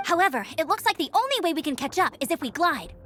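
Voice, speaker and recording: An upbeat young female game scout, very high-pitched and animated. She sounds confident, playful and encouraging, in anime-style game delivery, with faint music underneath. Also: fast talker, heavily acted. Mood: determined.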